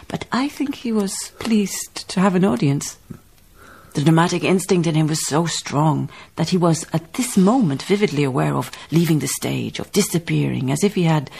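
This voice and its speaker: An actress in a radio drama playing a dignified, thoughtful baroness, telling her tale in measured literary phrases. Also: sits low, vocal fry, darkish tone, a little hiss or hum, exaggerated voice. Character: reflective, dignified baroness, storytelling colonial farm owner. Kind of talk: radio drama acting and narration.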